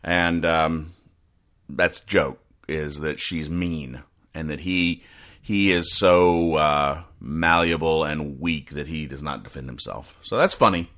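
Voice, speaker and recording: A man hosting a casual solo podcast, explaining things in a rambling, halting, deadpan way. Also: rumbling low. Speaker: podcast host. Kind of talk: casual solo commentary, explaining comic strips.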